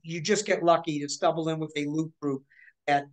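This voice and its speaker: A woman in her forties, a voice actor, telling animated stories with lots of restarts over a video call from her living room. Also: deep voice, normal speed. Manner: chatty, animated, with many restarts and hand gestures. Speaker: guest voice actor. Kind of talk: casual interview storytelling.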